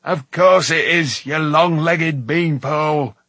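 A man's voice: fairly high pitch, animated, a little slow, fairly bright tone, breathy edge, dry recording, exaggerated voice. Man acting a bad-tempered dwarf turned frog, snappish, scornful, blustering, storybook character, close dry sound. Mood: exasperated, scornful. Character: irascible dwarf trapped in a frog's body. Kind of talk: audiobook character voice.

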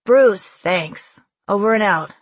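A woman playing a tense, gruff male ship captain in an audiobook, with loud, clipped delivery as if calling over a radio. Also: mid-range voice, expressive, slow, no room sound. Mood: forced friendliness.

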